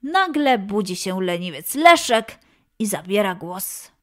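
A woman's voice: medium pitch, very animated, a little slow. A woman storyteller reading scripted narration for a children's tale. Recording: music behind the voice.

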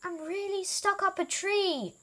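A woman voicing a trickster storybook elephant who calls out in loud, drawn-out cries. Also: extremely high pitch, voice pushed up. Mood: pleading. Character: hidden trickster elephant, teasing, calling out, pleading.

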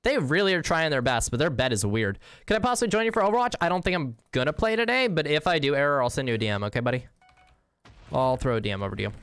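A man's voice: fairly high pitch, lively intonation, fast talker, a bit bright, clear, resonant tone, no echo. A young man casually chatting with viewers while playing a game, slangy and easygoing, with the odd swear. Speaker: streamer. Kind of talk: casual game livestream, reading chat.

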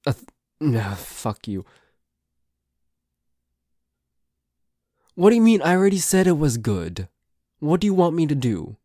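A man's voice: a little high, big pitch swings, moderate pace, dark tone, no echo, studio quality. A man playing a flustered, grumbling but secretly affectionate boyfriend in an ASMR roleplay, stammering and grudging as he talks. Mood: hesitant, grudging. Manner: stammering, hesitant, grudging, sweary.

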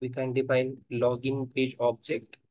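A man teaching software testing in a screen-recorded coding tutorial, talking haltingly and thinking aloud while he types, with frequent fillers. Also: mid-range voice, completely flat delivery, no echo, low-quality recording.

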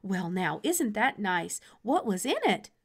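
A woman's voice: mid-range voice, very animated, unhurried, breathy edge, heavily acted. Casting: folksy female switchboard operator for a storybook, read by a woman, neighborly and chatty. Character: chatty, friendly small-town telephone operator woman.

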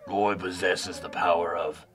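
A man playing a cocky, deadpan oddball who speaks of himself in the third person, in a comedic audio drama. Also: low-pitched, a little slow, some chatter behind. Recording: noticeable background noise.

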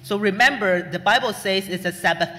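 High-voiced male sermon interpreter, hall reverb. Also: fairly quick, sharp tone.

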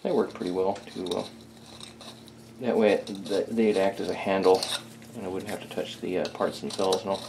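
Male hobbyist, low creaky voice, hesitant and casual workshop talk, noticeable background noise. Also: steady tone, moderate pace. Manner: hesitant, thinking aloud. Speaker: hobbyist restorer, video host. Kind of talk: casual hands-on workshop chat. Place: small indoor home workshop.

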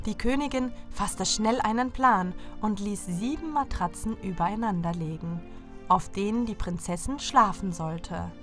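A woman's voice: mid-range voice, a little slow, fairly bright tone. Woman narrating fairy tales over faint music. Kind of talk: scripted fairy tale narration for children.

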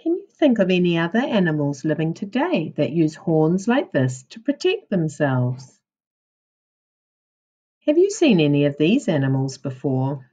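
A woman with a low, husky voice reads aloud as a primary school teacher would to young children. Her pace is unhurried and her intonation rises and falls in a very animated way, with careful, instructional phrasing. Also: muffled recording. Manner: deliberate, instructional, questioning delivery for young children. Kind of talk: reading a children's non-fiction book aloud.

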